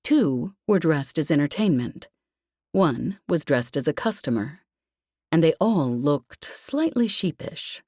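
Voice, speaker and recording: Slow, low-pitched woman narrator. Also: animated, mellow, no echo, clean studio sound. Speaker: audiobook narrator. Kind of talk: scripted fiction narration.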